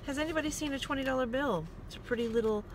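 A woman's voice: sits high, rapid-fire. A woman, chatting casually and self-deprecatingly to a phone camera clipped inside her car, rambling and restarting her sentences. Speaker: vlogger. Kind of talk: casual car vlog monologue. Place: inside a parked and driving car at night. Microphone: phone clipped to the dashboard vent. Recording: loud car and road noise.

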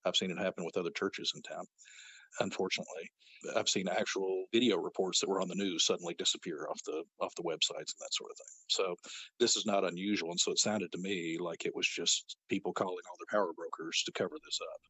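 A man with a very low, husky voice, telling his side of events as a guest. He speaks matter-of-factly, with an indignant edge. The recording is dry and close. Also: fairly even intonation, quick pace, pushed and bright, no echo, rough recording. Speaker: interview guest. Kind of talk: recounting events in an interview.

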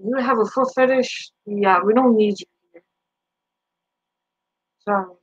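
Boston girl streamer on a headset, swearing a ton, slow drawl, kinda muffled lo-fi audio. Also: medium pitch, no echo. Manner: brash, profane trash talk. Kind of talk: live stream chat reply. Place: small bedroom. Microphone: headset mic.

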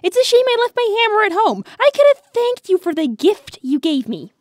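Very high-pitched sassy hedgehog girl voiced by a woman. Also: sing-song, brisk, dry recording, studio quality. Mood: defiant, sarcastic. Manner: dramatic, sassy. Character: feisty pregnant young female hedgehog, excitable and sassy. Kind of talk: comic dub voice acting.

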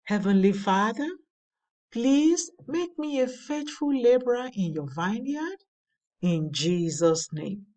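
A woman reading a daily devotional aloud in a measured, earnest way. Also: a little high, expressive, warm tone, distant mic. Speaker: devotional reader. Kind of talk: slow scripted devotional reading. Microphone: voice-over over slides.

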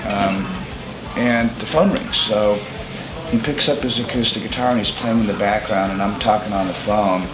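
A man's voice: fairly low pitch, normal speed, crowd noise. A man casually telling a loose, profane story and laughing along. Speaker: interviewee musician. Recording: echoey room under loud music.

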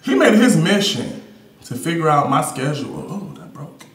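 High-pitched, sassy American man in echoey room. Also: normal speed. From Detroit, USA. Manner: playful, sassy, animated, slangy. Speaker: performance artist. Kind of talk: candid personal storytelling to a live audience. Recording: roomy live gallery recording.

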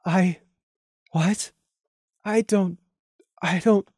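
A man voicing a lost, confused young pony mare who stutters softly through tears. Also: sits high, steady tone, husky, no echo, clean studio sound, pitched above the performer's own voice, full character voice. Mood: bewildered. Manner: halting, stuttering, soft. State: crying.

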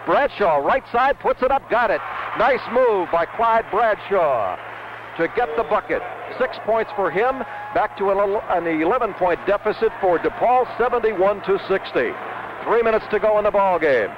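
A man calls a basketball game live for radio in a high-pitched voice, in short, clipped running phrases. The sound is a dull, noisy 1970s broadcast recording. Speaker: radio play-by-play announcer. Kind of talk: live basketball play-by-play commentary. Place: courtside in a basketball arena.

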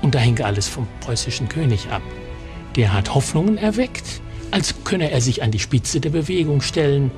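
A man's voice: medium pitch, sing-song, moderate pace, over music. A man giving explanatory commentary on history for a documentary.

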